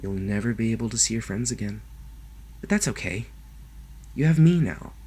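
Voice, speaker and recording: Male actor as lovestruck kidnapper, low-pitched, soft and halting, possessive, awkwardly reassuring, intimate ASMR monologue, slight background noise. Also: expressive, natural voice. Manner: soft, gentle, halting, sometimes dropping to a whisper.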